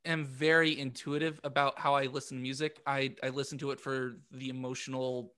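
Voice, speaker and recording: A man chatting casually as a podcast co-host, halting and repeating words as he works out his thoughts, often self-deprecating. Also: medium pitch, flat delivery, pushed and bright, clear, resonant tone. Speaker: podcast co-host and amateur musician. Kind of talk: casual group chat.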